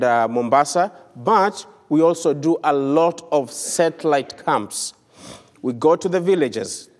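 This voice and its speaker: A man's voice: a little high, a little mellow. Kenyan man in a reverberant church. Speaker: visiting missionary speaker. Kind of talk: church mission report. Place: church sanctuary.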